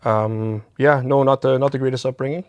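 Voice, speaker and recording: A man from Chile, a community safety consultant, talking openly and in a measured way in an interview about recovery and life without shelter. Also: medium pitch, fairly even intonation, darkish tone, no echo. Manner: open, measured, speaks frankly about recovery. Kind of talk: candid interview conversation. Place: theatre stage, live show.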